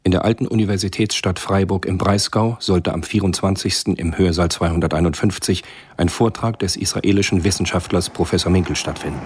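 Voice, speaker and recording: An actor as the composed narrator of a German radio spy thriller, telling the story in a measured, literary way. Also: male voice, low-pitched, fairly even intonation, a little hiss or hum, close to an everyday voice. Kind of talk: scripted radio drama narration.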